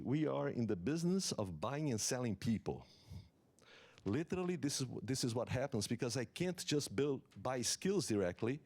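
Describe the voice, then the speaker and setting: A man's voice: mid-range voice, darkish tone. Man giving a conference talk. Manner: earnest, explanatory, with many fillers and restarts. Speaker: economist giving a summit talk. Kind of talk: conference keynote lecture.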